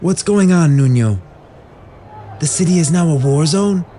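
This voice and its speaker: A man's voice: on the high side, expressive, a little slow, mellow, slight background noise, voice pushed up. A man voicing a direct female general in a fantasy audio drama, asking terse, urgent questions. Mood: alarmed.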